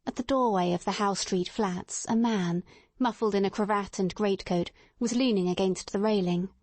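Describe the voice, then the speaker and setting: Female audiobook narrator, husky voice, mid pitch, dry close sound, dramatic scripted story reading. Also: moderate pace, darkish tone, no echo.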